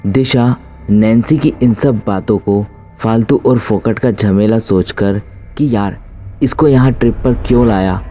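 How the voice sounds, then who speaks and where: A man's voice: lowish voice, unhurried, warm tone, soft background music. A man narrating a scripted horror story as its storyteller.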